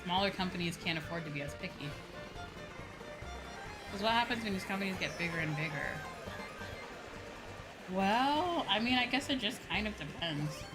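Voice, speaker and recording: A woman's voice: mid-range voice, expressive, moderate pace, pauses often, poor audio. A woman chatting casually on a livestream as a VTuber, giving opinions with frequent tag questions and some swearing. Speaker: VTuber streamer. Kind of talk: casual livestream chat while gaming. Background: video game music.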